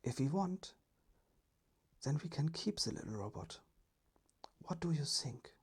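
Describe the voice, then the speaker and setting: A storyteller voicing a friendly, considerate male family member in a breathy ASMR storybook whisper. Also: mid-range voice, animated, a little slow, voice pushed up, exaggerated voice. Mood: eager.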